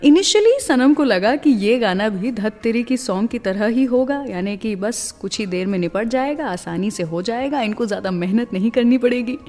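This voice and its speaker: A woman's voice: on the high side, lively intonation, moderate pace, few pauses, darkish tone, in a large hall. A woman hosting a radio show, presenting and telling stories in a narrated style. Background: music.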